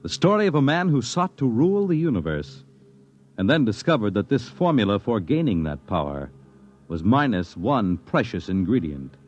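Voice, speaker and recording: Dramatic vintage radio announcer. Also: male voice, lowish voice, very animated, moderate pace, muffled recording. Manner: dramatic, declamatory. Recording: vintage radio broadcast with music.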